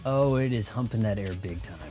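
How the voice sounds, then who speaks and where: Low-voiced man, casual gaming commentary. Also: fairly quick. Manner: rambling, talking to himself. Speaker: streamer playing a video game. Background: game music.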